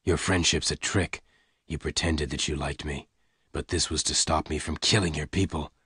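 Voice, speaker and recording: A man voicing a calm, gruff male gunman who speaks low and quietly. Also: moderate pace, dry recording, clean studio sound, full character voice. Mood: quiet, hard.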